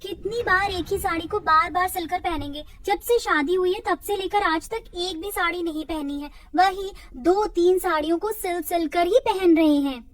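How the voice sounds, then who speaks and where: A woman voicing a poor, weary young village daughter-in-law in a melodramatic, plaintive way for an animated moral story. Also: very high-pitched, normal speed, few pauses, dry recording.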